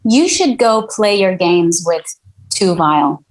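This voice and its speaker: A woman's voice: on the low side, lively intonation, takes it slowly, rough recording. A woman playing an irritable, defensive music mogul in a table read, with a casual, hesitant delivery. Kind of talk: scripted table read acting.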